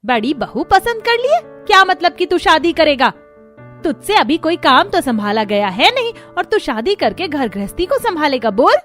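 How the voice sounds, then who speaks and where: A woman acts out a harsh Indian mother-in-law for a cartoon story. The voice is high and scolding, scornful and nagging, over faint background music. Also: big pitch swings, normal speed, a bit bright. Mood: disbelieving. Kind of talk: Hindi cartoon story acting.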